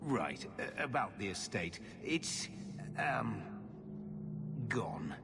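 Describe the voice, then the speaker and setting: A sly shady uncle, stammery, low voice that bounces around, game music under it. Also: male voice, very animated, extremely slow, vocal fry, exaggerated voice. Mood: shifty. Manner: hesitant, stammering, wheedling. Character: shifty middle-aged male uncle, sly, evasive. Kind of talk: video game cutscene acting. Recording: game score behind the voice.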